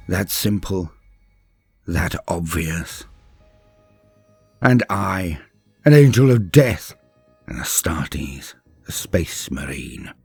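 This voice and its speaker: Male narrator as embittered warrior marine: deep, rough-edged, declamatory, solemn sci-fi storytelling over faint music. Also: no room sound, full character voice. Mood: bitter, anguished. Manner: grave, declamatory, deliberate phrasing. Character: betrayed, bitter, proud male space marine warrior. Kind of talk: dramatic first-person story narration.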